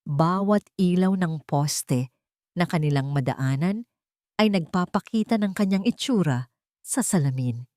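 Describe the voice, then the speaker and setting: Low-voiced woman, somber story narration. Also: lively intonation, a little slow, warm tone, dry recording, studio quality.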